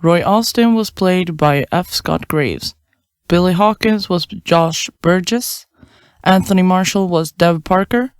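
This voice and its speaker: A woman's voice: fairly low pitch, slow, smooth, no room sound, clean studio sound. A woman reading scripted end credits as a podcast announcer.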